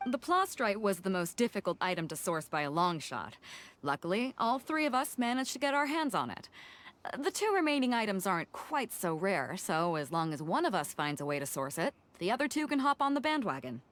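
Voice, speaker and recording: A bold female sea captain in a video game. She is hearty, breezy and colloquial, her pitch swinging freely, with faint background noise. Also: sing-song, a little fast, fairly bright tone. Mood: confident.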